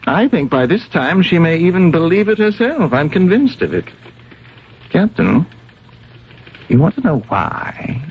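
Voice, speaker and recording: A man playing a worldly, argumentative fellow in an old-time radio drama, gravelly, emphatic and persuasive, with a sardonic edge. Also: on the high side, big pitch swings, measured pace, long pauses. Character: worldly, argumentative man reasoning with police captain. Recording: noisy, dull vintage radio recording.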